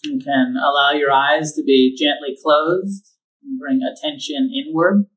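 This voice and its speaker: A man leading a guided meditation, giving slow, calm instructions with long pauses. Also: on the high side, far from the mic, low-quality recording. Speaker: meditation teacher.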